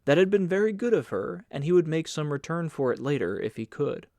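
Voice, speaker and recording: A man's voice: fairly high pitch, sing-song, a little fast, gravelly, dark tone, no room sound, clean studio sound. A man reading literary fiction as a scripted audiobook narration.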